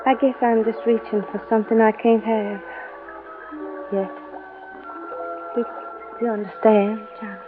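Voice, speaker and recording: An actress playing a flirtatious, wistful wife in a 1950s radio drama, with a coy, theatrical manner. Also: female voice, fairly high pitch, flat delivery, unhurried, pauses often, natural voice. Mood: bitter, wistful. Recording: tinny old radio recording with music.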